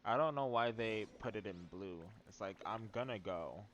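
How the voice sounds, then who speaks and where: A man's voice: on the low side, normal speed, fairly bright tone, dull audio, a little hiss or hum. A man doing casual, rambling and playful live-stream commentary while he plays a video game. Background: faint game music.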